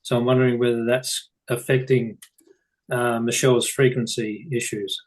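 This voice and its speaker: A man taking part in a group call, relaxed and plainspoken. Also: medium pitch, normal speed, low-quality recording. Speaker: session participant.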